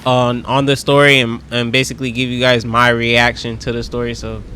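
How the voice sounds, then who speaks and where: A man's voice: medium pitch, level tone, fairly quick, sharp tone, a little hiss or hum. A man giving casual, slangy gameplay commentary as a video host. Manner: informal, slangy.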